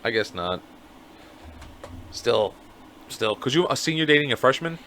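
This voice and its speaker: A man's voice: medium pitch, expressive, measured pace, bright, forceful tone, a little hiss or hum. Blunt, profane man in his thirties. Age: 30s. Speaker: podcast co-host. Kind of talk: casual chat.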